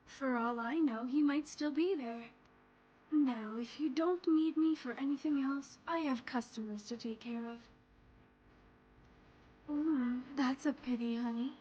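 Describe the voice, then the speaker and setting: A woman's voice: high voice, mellow, muffled recording, slight background noise. A woman playing a flirtatious, sly tavern courtesan in a fantasy game, teasing and coy. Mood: coy, brisk. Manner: teasing, coy, sultry drawn-out endearments. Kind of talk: scripted game character dialogue.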